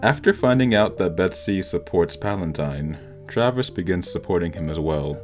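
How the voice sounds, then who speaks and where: Very deep-voiced male video essay narrator. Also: animated, moderate pace. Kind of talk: scripted film analysis narration. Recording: voice-over with background music. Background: instrumental music with plucked guitar.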